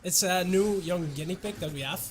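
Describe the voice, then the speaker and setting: A Dutch man, a native Dutch speaker, chatting casually in English with his chat while streaming a game, relaxed and often chuckling. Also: high-pitched, a little fast, slight background noise. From Netherlands.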